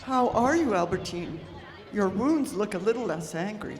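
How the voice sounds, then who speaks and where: Woman acting genteel articulate Edwardian wife, affectionate, medium pitch, radio play, echoey hall. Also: expressive, moderate pace, a little mellow, soft background music, some chatter behind, natural voice. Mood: brisk, curious. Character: sharp, articulate, affectionate minister's wife of 1900s. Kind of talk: old-time radio drama acting. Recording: echoey hall, faint audience sounds.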